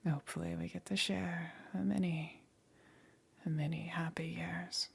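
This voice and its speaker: A woman voicing a seductive, possessive yet melancholy demon queen in a whispered ASMR roleplay, slow and softly condescending, with a breathy, husky voice. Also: lowish voice, flat delivery, heavily acted. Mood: tender, ominous.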